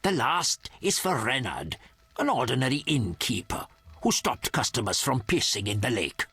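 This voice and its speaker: An actor playing a solemn, reverent hermit in a fantasy video game, with formal, measured phrasing and a husky voice. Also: male voice, medium pitch, big pitch swings, pushed and bright, heavily acted. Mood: solemn storytelling.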